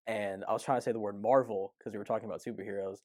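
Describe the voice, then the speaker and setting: A man's voice: fairly low pitch, fairly even intonation, a little mellow, dry recording, clean studio sound. A man who stutters, speaking openly about it in a documentary interview. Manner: stutters, talks openly and quickly.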